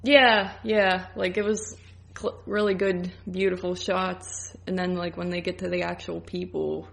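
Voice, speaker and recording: A woman's voice: fairly low pitch, level tone, measured pace, vocal fry. A woman co-hosting a podcast in casual conversation. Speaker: podcast co-host. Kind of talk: casual chat.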